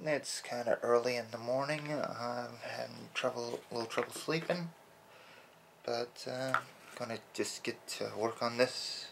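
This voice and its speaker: A man quietly rambling as he paints a toy, his throat a little rough from early-morning allergies. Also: medium pitch, measured pace, background noise. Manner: soft-spoken, rambling with fillers. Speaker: toy customizer. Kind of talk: casual hobby narration while painting.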